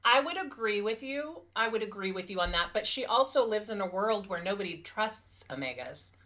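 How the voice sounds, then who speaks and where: A woman's voice: mid-range voice, big pitch swings, moderate pace, pushed and bright. A woman co-hosting a podcast in casual book-review chat, conversational and repeating phrases for emphasis.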